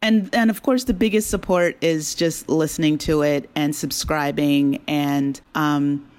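A woman with a slightly low voice speaks as a guest in a casual interview. She is chatty and appreciative, with an easy laugh. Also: fairly even intonation, a little slow, darkish tone. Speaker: interview guest, audio drama creator.

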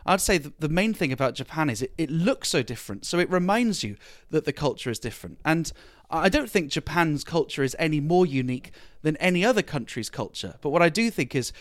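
A man's voice: a little high, sing-song, fairly quick, few pauses, dry recording. Articulate male comedian from England. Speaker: comedian, podcast host, guest. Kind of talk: conversational interview answers.

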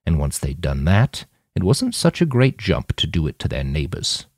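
A man voicing a weary, impassioned old man in an audiobook, speaking in a deliberate, oratorical, emphatic way. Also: deep voice, wildly swooping pitch, darkish tone, breathy edge, no echo, clean studio sound, exaggerated voice. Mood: somber.

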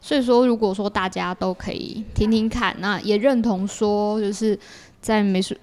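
A woman's voice: mid-range voice, fairly even intonation, normal speed, a little mellow. Taiwanese woman chatting as podcast guest. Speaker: podcast guest, audio drama creator. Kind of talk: casual interview chat, explaining a project. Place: small partitioned dessert cafe in an alley.

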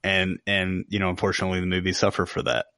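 A man's voice: deep voice, monotone, fast talker, sharp tone, no echo, clean studio sound. A man co-hosting a podcast and giving his opinions in casual conversation. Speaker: podcast co-host. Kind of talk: casual chat, opinions on games.

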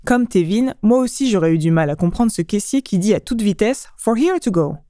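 Mellow-voiced woman hosting a lesson. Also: mid-range voice, lively intonation, fairly quick, no room sound, clean studio sound. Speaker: language lesson host. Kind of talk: explanatory lesson narration.

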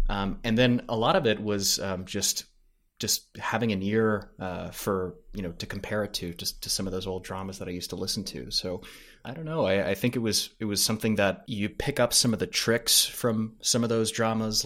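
Low voiced guy being interviewed on a podcast, casual, kinda hesitant, thinking out loud. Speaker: podcast creator, interview guest.

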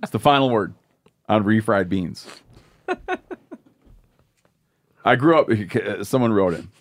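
A man's voice: on the low side, animated, slow. A man hosting a casual podcast chat, reading listener mail aloud and making joking asides. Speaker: podcast host.

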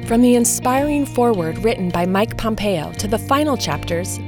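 Lady announcer doing the promo read, smooth and scripted, music behind. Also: mid-range voice.

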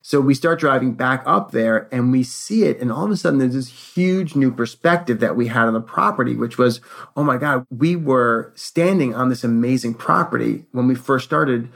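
A man's voice: medium pitch, moderate pace, gravelly. A man hosting, telling a personal story in a casual conversational way and acting out quotes as he goes. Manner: animated retelling with acted-out quotes.

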